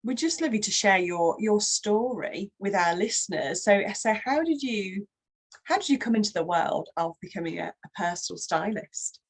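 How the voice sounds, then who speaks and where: Female podcast co-host, animated intonation, stumbling question style. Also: mid-range voice, moderate pace, husky. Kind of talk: podcast conversation.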